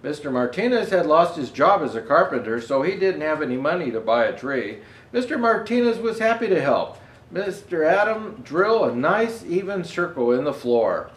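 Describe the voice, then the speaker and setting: A man reading a children's storybook aloud as Santa, reading haltingly and pausing for asides to show the pictures. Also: on the high side, sing-song, reverberant. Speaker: storyteller presenting as Santa Claus.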